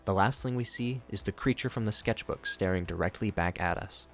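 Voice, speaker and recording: Male narrator, lower register, scripted review commentary, light music bed underneath. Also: brisk. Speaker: YouTube narrator reviewing horror series.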